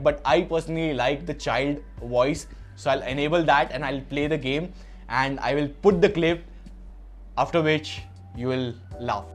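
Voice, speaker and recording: A young man with an Indian accent narrating an unboxing as a tech reviewer. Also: a little high.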